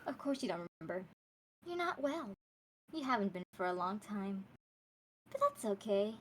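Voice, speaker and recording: A woman's voice: mid-range voice, animated, normal speed, a little hiss or hum, lightly acted. A woman playing a condescending, breezily dismissive tour guide in a script read-through. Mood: soothing, condescending.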